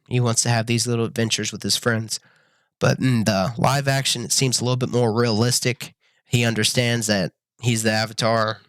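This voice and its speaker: A young man reviewing a show to camera in a casual, earnest way, full of ums and restarts, his voice a little raspy from being sick. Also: mid-range voice, fairly bright tone, no room sound, studio quality. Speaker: YouTube reviewer.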